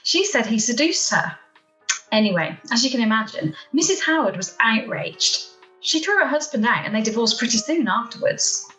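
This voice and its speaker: A woman's voice: a little high, very animated, moderate pace, bright, forceful tone, soft background music. A woman playing a chatty small-town gossip who tells scandal dramatically in an amateur radio play. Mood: gossipy, animated. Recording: roomy, echoey home video-call recording.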